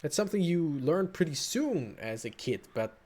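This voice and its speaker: A woman giving casual let's play commentary, thinking aloud in a halting, rambling way. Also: sits low, very animated, warm tone. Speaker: let's player commentating a video game.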